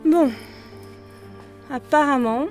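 An actress playing an excitable, easily exasperated radio show worker who also narrates informally in an audio drama. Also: female voice, high voice, drawn-out words, faint music behind. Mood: curious. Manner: informal, conversational. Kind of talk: French audio drama, dialogue and first-person narration.

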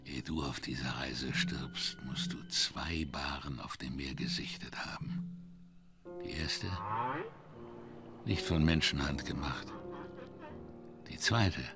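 An ominous seafaring prophet with an extremely low, creaky voice. He speaks solemnly and cryptically in a radio play, with music behind. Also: slow pace, long pauses, full character voice. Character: ominous Parsee harpooner prophesying doom.

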